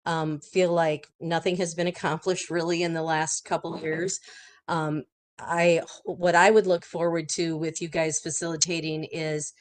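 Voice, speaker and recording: A woman with a raspy voice from a cold, speaking haltingly with many ums in a video-call committee meeting. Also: lowish voice. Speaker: advocacy volunteer and support planner.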